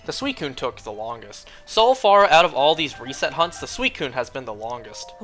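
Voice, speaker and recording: A young man streaming a game, chatting casually and rambling, clearing his throat often as he is losing his voice. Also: medium pitch, very animated, moderate pace, music in the background.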